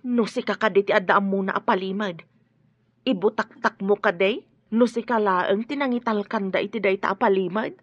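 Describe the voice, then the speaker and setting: A woman's voice: mid-range voice, normal speed, no echo, muffled recording, close to an everyday voice. A woman with an Ilocano accent acting a worried, troubled mother in a radio drama. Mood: anxious.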